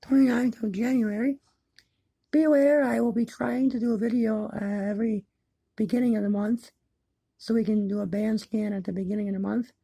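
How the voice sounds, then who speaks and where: A woman chatting casually to viewers as a hobbyist video maker, in a halting, repetitive, plain-spoken way. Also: a little high, steady tone, creaky, dark tone, husky, no echo, rough recording. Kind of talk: casual chat to camera. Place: indoors in an apartment, by a window.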